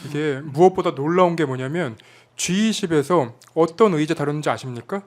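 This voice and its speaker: A man, a journalist guest, explaining events in a measured way with the odd dry quip. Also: fairly high pitch. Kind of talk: political commentary on a talk show.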